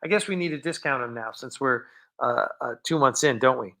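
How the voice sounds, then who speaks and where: A man's voice: mid-range voice, a little fast, vocal fry, pushed and bright. A man hosting a fan livestream, chatting casually and answering viewer questions in an informal, rambling way. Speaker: host of a fan film livestream.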